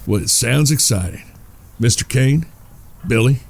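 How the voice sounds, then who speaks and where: An actor playing a shrewd outlaw gambler in a western audio drama, polite, folksy and coolly confident. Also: male voice, medium pitch, animated, measured pace, vocal fry. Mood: courteous. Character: shrewd, courteous outlaw gambler, leader of his brothers.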